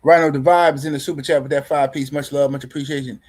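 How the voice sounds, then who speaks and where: A man's voice: a little high, steady tone, quick pace, darkish tone. A man hosting a boxing livestream in casual commentary, loose and teasing, rambling and repeating words as he reads chat messages. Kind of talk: casual live-stream commentary.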